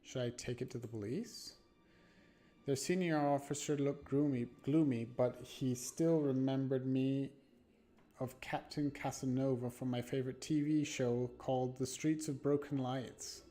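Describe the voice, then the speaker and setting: A man live-streaming a game, reading horror narration aloud dramatically and haltingly, stumbling and correcting himself. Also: mid-range voice, fairly even intonation, mellow. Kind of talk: live read-aloud of a horror visual novel with asides. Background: background music.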